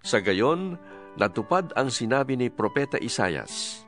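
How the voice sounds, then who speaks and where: A man narrating a dramatized scripture reading in a measured storytelling manner. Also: mid-range voice, very animated, fairly bright tone. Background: music.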